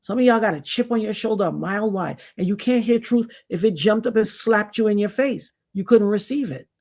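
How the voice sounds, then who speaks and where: A woman's voice: mid-range voice, brisk. Woman, 50s, channel host, casual and preachy, lectures her audience directly. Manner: animated, preachy, addresses viewers as y'all. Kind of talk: casual talk to camera.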